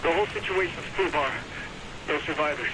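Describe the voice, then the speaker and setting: Man acting urgent soldier, high voice, terse radio report, very heavy noise, video game. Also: fairly quick, natural voice. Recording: very noisy radio, rain.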